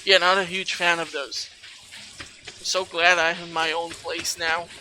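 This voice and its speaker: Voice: medium pitch, level tone, a little slow, very noisy. A person giving casual let's play commentary, chatty and rambling, with some mild swearing. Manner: chatty, rambling, mildly profane. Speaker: gaming video host. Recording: loud game music behind the voice. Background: video game music.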